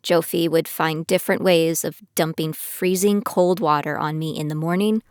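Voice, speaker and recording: A woman's voice: low-pitched, fairly even intonation, normal speed, very noisy, lightly acted. A woman playing a determined young female trainee who tells her own story in an audio drama, in scripted first person. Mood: reflective narration. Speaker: narrator and lead character of an audio drama. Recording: studio voice over prominent music.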